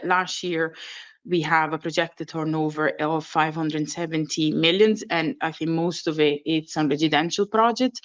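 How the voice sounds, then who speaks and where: A woman from Italy with an Italian accent gives an informal professional presentation as a training manager, hesitating and often correcting herself. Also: low voice. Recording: dull, lo-fi audio.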